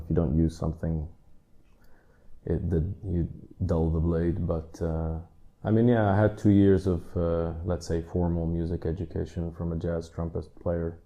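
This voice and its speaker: A man in his thirties from the Netherlands, a drummer answering interview questions in a relaxed, rambling way with many asides. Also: low-pitched. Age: 30s.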